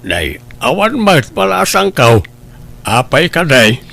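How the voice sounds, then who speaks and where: An older Filipino father-in-law, played by a man, flustered and defensive in a comedy drama. He has a fairly high male voice and a hesitant delivery. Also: very animated, takes it slowly, vocal fry, pushed and bright, exaggerated voice.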